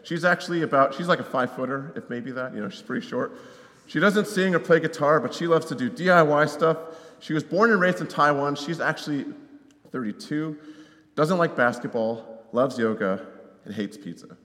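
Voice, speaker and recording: A man's voice: on the high side, normal speed, fairly bright tone. Man, thirties, American accent, conversational preacher, frequent tag questions, long hall reverb. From Connecticut, United States. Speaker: missionary preacher, guest speaker. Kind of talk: conversational sermon to a congregation. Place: large hall, speaking to an audience.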